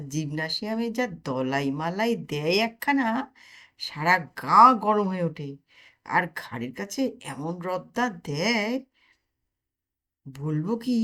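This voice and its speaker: A woman's voice: on the low side, fairly bright tone. Woman voicing a boastful young muscle buff. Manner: lecturing, showing off. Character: boastful young man, exercise enthusiast.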